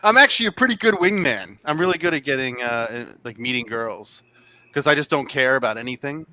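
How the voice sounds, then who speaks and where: A man's voice: mid-range voice, animated, fairly quick, bright, forceful tone. A man in a casual livestream chat, teasing his friends with dry jokes.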